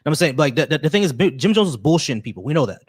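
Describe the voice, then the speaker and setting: A man hosting a call-in stream, arguing quickly and repeating phrases to make his point. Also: a little high, sing-song, breathy edge, no room sound, studio quality. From United States. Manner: rapid, argumentative, repeats phrases. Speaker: host. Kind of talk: casual debate on a call-in stream.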